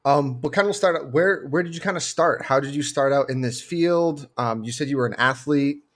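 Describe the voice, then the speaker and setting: A man's voice: medium pitch, fairly quick. A man hosting a podcast, asking a guest casual questions with filler words. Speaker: podcast host. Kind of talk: interviewing a guest.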